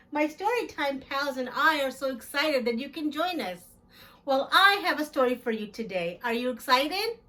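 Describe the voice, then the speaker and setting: Female children's story-time host: high-pitched, swooping intonation, expressive read-aloud delivery aimed at young kids.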